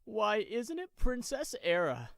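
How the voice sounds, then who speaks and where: A woman's voice: on the high side, huge pitch swings, a little slow, creaky, a bit bright, no echo, full character voice. A woman voicing an arrogant, possessive young nobleman in a fantasy audio roleplay, with a smug, pompous manner.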